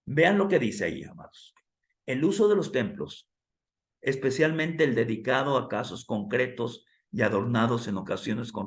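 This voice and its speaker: A hoarse man teaching a theology class. He asks the students questions and calls them "amados" like a preacher would. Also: medium pitch, roomy sound. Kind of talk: classroom lecture with preacher cadence.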